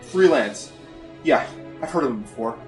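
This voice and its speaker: A man acting as a wary male pony with a measured, explanatory delivery in a radio play. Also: medium pitch, lively intonation, faint music behind, natural voice.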